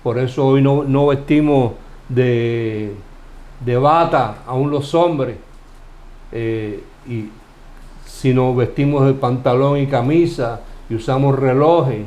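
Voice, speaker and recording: A man from Cuba with a Cuban Spanish accent, explaining Bible passages in a relaxed study conversation, asking questions and restarting phrases as he goes. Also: medium pitch, slow. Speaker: Bible teacher.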